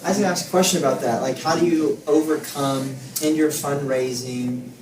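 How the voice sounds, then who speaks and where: A man's voice: medium pitch, fairly even intonation, a little fast. A man in his thirties asking thoughtful questions in a casual panel conversation. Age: 30s. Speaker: panel participant. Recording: distant, roomy, with background noise.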